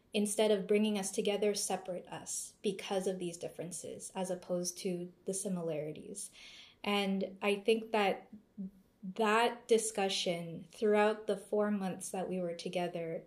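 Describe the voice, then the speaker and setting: A woman, a guest in an interview, speaking thoughtfully and reflectively with searching pauses. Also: mid-range voice, a little mellow. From Canada. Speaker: interview guest, former seminar student. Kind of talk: casual conversational interview.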